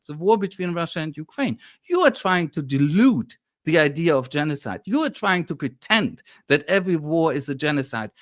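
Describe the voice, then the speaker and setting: A man's voice: high voice, a bit bright, no echo, studio quality. A man with a German accent, speaking as a historian guest in a political discussion, emphatic and lecturing, at times indignant.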